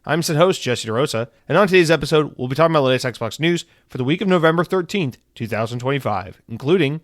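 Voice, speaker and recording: A man's voice: on the high side, animated, quick pace, no room sound, clean studio sound. A man in his thirties hosting a casual solo podcast, rambling and swearing loosely, tired and coming down with a head cold. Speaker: podcast host.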